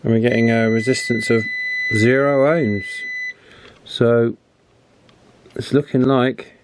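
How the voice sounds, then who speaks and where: A man's voice: medium pitch, steady tone, takes it slowly, background noise. A man giving casual, unscripted commentary as he works on an electronics repair, chatty and fond of tag questions. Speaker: host of an electronics repair channel. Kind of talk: casual hands-on repair commentary, talking while working.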